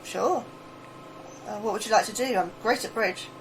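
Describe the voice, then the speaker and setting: Mid-pitched female voice, anxious young-at-heart scientist played by an actress, curious, awkward, podcast drama, clear ambience. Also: normal speed, a little hiss or hum, close to an everyday voice, rough recording. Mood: awkward, friendly. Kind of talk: audio fiction acting.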